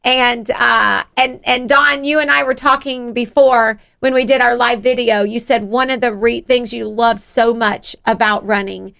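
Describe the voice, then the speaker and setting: A middle-aged woman with a Southern US accent and a high-pitched, creaky voice. She hosts a motivational radio show, chatting and encouraging listeners effusively. Her tone is bright and forward. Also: fairly even intonation, a little slow, hardly any pauses. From Georgia, United States. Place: radio studio.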